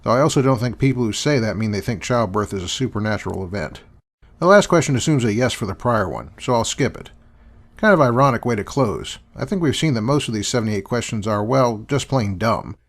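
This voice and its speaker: A man's voice: mid-range voice, expressive, a little fast. A man hosting and answering questions in a dry, sarcastic, dismissive manner. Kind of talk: sarcastic commentary.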